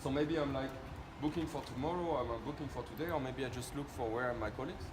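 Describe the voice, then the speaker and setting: A man's voice: medium pitch, quick pace. French guy doing a casual product demo, keeps checking you follow, echoey room w/ background noise. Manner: explanatory, often ends points with checking tag questions. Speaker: company presenter demonstrating a product.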